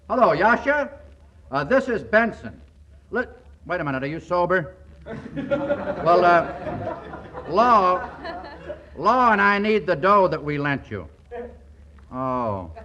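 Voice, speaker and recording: A man's voice: high-pitched, bright, forceful tone, muffled recording, heavily acted. An actor playing a wisecracking, scheming wise guy with an American accent in a 1940s radio comedy. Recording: faint noise, old 1940s radio broadcast.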